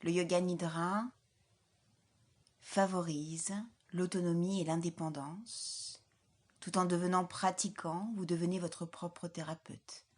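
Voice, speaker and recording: A woman leading a guided yoga nidra relaxation as an instructor, in a calm, soothing, measured way with soft, breathy phrase endings. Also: on the low side. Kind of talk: guided relaxation meditation, scripted.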